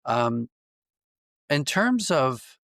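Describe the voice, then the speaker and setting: A man answering interview questions conversationally as a writer, thoughtful and halting, circling back to rephrase as he goes. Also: medium pitch, sing-song, a bit bright, dry recording, studio quality. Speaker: podcast guest, writer and dramatist.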